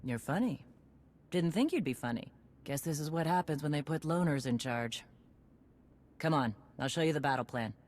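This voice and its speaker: A blunt warrior commander. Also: female voice, deep voice, expressive, moderate pace, pauses often, a little mellow. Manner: blunt, dry, rallying. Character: tough female warrior commander, blunt and dry.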